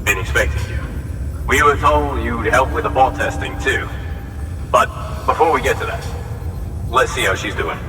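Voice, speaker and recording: A man's voice: medium pitch, moderate pace, pauses often, background noise, lightly acted. An actor playing a plain-spoken, folksy male depot worker in a video game cutscene. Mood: businesslike. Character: laconic, folksy male distribution center worker. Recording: echoey hall, distant from mic. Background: loud music.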